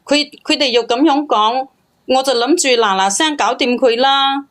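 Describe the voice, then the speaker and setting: Woman, high pitch, phone-in caller, confessional, stammering, self-justifying. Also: normal speed, a bit bright. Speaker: caller confiding in host.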